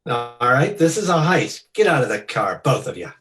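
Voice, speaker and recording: A man's voice: medium pitch, very animated, normal speed, fairly bright tone, soft background music, pitched below the performer's own voice. A man voicing a menacing holdup thug, curt and threatening, in 1940s radio style.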